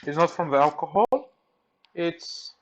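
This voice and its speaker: A man with a Greek accent, speaking English as a second language, walks through a repair and thinks aloud in halting, simple sentences. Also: fairly high pitch, fairly even intonation, highs cut off. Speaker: repair technician. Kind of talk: hands-on repair tutorial. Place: workbench.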